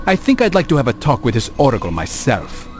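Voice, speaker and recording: A resolute assassin in a video game. His mid-pitched voice is serious, earnest and controlled, with clear music underneath. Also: wildly swooping pitch, fast. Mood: focused, serious. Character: grave, resolute male assassin hero. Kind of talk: dramatic video game acting. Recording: game cutscene with music behind.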